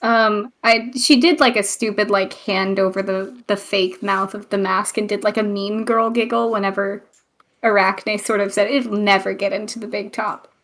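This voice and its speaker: A woman player in a tabletop game, describing her character's actions in a halting way. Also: mid-range voice, steady tone, a bit bright.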